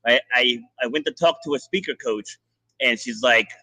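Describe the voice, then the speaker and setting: Man, bright tense voice, a bit husky, dry close mic, podcast host telling stories. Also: mid-range voice, moderate pace, dry recording, low-quality recording. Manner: animated storytelling, many restarts. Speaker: podcast host, fitness coach. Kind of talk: casual interview chat.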